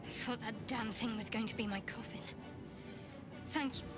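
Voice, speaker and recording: A woman's voice: fairly high pitch, fairly even intonation, moderate pace, close to an everyday voice. A woman acting a guarded, pragmatic young cleric adventurer in a video game. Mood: wary, composed. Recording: game music behind the voice.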